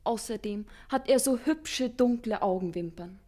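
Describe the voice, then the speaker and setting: Woman playing a high-voiced, gushing young fan. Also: a little slow, a little mellow, natural voice. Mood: adoring, earnest. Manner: earnest, declamatory, adoring. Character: gushing young female fan club devotee of a gangster. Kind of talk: 1950s German radio crime satire acting.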